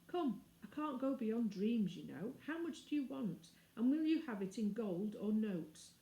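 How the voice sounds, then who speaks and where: A woman's voice: a little high, sing-song, a little slow, mellow. Woman voicing a grumpy, offhand little sand fairy. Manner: offhand, muttering, brusque. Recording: roomy indoor echo.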